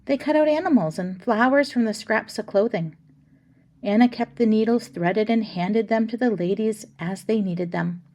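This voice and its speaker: A woman reading a children's picture book aloud with expressive, deliberate phrasing. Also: medium pitch. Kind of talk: storybook read-aloud.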